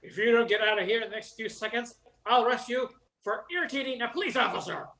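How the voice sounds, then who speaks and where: Casting: male actor as gruff, impatient-tempered small-town inspector, noir radio comedy. Very high-set, sharp voice. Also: fast talker, full character voice. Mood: stern, impatient.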